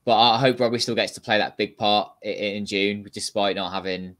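A young man co-hosting a casual football chat over a video call from home, talking quickly and chattily and reading out viewer comments. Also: fairly low pitch, steady tone, fairly bright tone.

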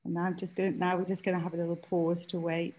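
A woman's voice: fairly low pitch, level tone, fast talker, darkish tone. A woman hosting an informal panel on a video call, tentative and apologetic in how she steers it. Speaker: event host, panel moderator. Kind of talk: informal event moderation. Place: indoor room on a video call.

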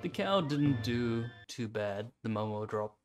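A man's voice: mid-range voice, expressive, a little slow. A man streaming a video game, giving casual, offhand commentary on the play. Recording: game music behind voice. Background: game music.

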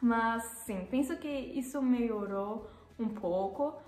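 A young woman from Germany speaking with a German accent, who often pauses and corrects herself and laughs at her own mistakes. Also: on the high side, a little mellow, echoey room, soft background music, rough recording. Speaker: vlogger. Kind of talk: casual vlog talk to camera. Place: living room, seated on a sofa.

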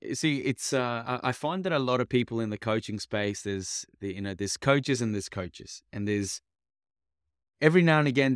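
A man's voice: fairly low pitch, clean tone, no room sound, clean studio sound. A young man who grew up in Italy, a coach talking casually as a podcast guest, with many fillers and restarts. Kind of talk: casual interview chat.

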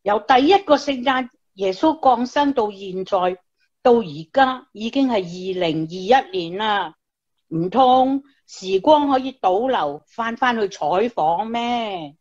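An actress with a Hong Kong Cantonese accent playing a grumbling, skeptical, fun-loving young friend in a radio drama, with drawn-out, sighing delivery. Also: female voice, fairly high pitch, lively intonation, darkish tone, lo-fi sound. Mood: dismissive, teasing.

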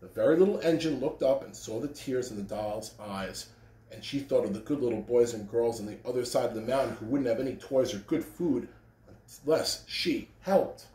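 A man's voice: medium pitch, normal speed, dark tone. A man in his thirties, reading and talking expressively to children. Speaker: school read-aloud host. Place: living room, indoors. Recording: roomy living-room echo.

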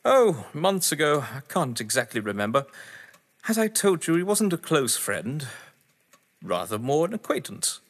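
In a reverberant hall with music behind, a man acts out a pompous English gentleman for an audio drama. His voice sits fairly high and swings widely in pitch. He is florid, theatrical and breezily haughty. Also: sing-song, moderate pace. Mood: evasive.

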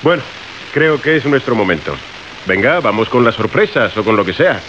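An actor playing a brisk, commanding radio director who keeps calm, in a radio drama. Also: male voice, mid-range voice, a little hiss or hum. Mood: brisk, reassuring. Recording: dull radio recording under loud music.

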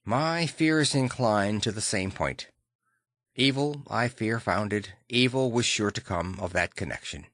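A man's voice: lowish voice, unhurried, a bit bright, no echo. A man voicing a grave, commanding Victorian lawyer in an audiobook, formal and decisive. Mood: grim resolve.

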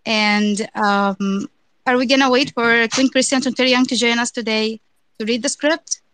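Eager woman organizer, slightly high voice. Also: steady tone, normal speed, fairly bright tone, a little hiss or hum, poor audio. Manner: eager, grateful, laughing often. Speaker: fan event organizer. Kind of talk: casual hosting of an online fan event.

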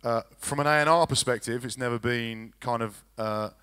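A man in his forties, a record label executive, answering at a conference panel on a handheld mic, measured with many fillers and restarts. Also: mid-range voice, bright, forceful tone, clean tone. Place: large conference hall stage.

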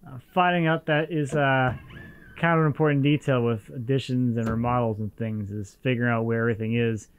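A man in his thirties, relaxed and easygoing, narrating home addition work outdoors on a camera, with frequent fillers. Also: medium pitch, a little fast, a little hiss or hum. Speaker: vlog host filming a home addition. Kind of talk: casual narration of construction work. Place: outdoors on a deck by a house.

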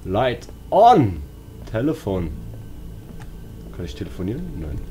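Deep-voiced German man gaming, music behind. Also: very animated, slow, dark tone. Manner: jumpy, exclamatory, self-talking. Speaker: let's player. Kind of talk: casual horror game commentary. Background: game music and sounds.

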